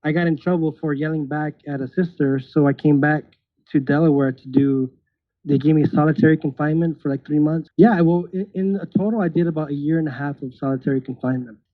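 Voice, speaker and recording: A man's voice: fairly high pitch, fairly even intonation, fast talker, no echo. A man in his thirties, a podcast guest speaking casually with hesitant restarts and nervous laughs. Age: 30s. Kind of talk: casual interview. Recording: tinny phone-like call audio.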